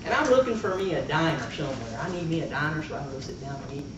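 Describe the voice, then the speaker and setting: A male storyteller with a homespun, rustic way of talking tells a comic tale. His pitch swings widely. He is heard from a distance in a noisy, echoing room. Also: sing-song, rapid-fire, a loud crowd. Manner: folksy country storyteller with rustic slang. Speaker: contest speaker telling a tall tale. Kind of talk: comic storytelling to a live club audience. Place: meeting room before an audience. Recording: echoey, noisy room recording from far off.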